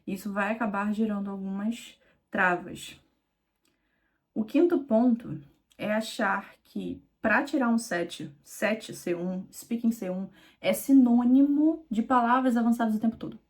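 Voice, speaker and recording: A young woman from Rio with a Carioca accent talks straight to the viewer as an exam mentor. She speaks informally and emphatically, and she repeats her key points. Her voice sits at an ordinary middle pitch. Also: measured pace. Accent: Carioca Brazilian Portuguese. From Rio de Janeiro, Brazil. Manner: conversational, direct, addresses viewer informally with "tu"; emphatic and repetitive. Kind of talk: direct-to-camera teaching video.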